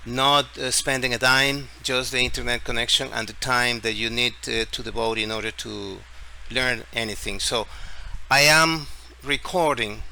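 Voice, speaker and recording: A man from Ecuador with an Ecuadorian Spanish accent hosts an informal screen-share explainer in halting, deliberate phrases, often repeating words. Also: medium pitch, steady tone, creaky, bright, forceful tone. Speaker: tutorial video host. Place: at home, street celebration outside. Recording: faint background noise.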